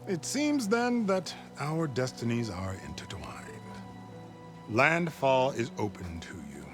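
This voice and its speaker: A man's voice: mid-range voice, big pitch swings, slow pace. A man playing a haughty, calculating military overseer in a video game, speaking formally and pompously. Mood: smug, commanding. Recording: music behind the voice.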